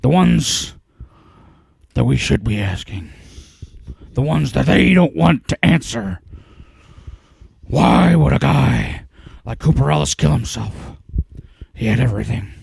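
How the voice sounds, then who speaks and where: Casting: a slow, very gravelly, raspy TV pundit, insinuating and conspiracy-minded, audio drama rant. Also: male voice, mid-range voice, animated, a little mellow, dry recording, studio quality, heavily acted. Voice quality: gravelly, husky. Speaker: talk show host.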